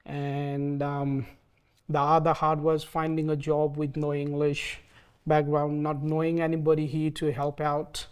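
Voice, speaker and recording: Nepali accented guy in his 30s, hesitant, lots of ums, kinda high smooth voice. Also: monotone, a little slow. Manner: soft-spoken, hesitant, frequent ums. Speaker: interviewee. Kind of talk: oral history interview.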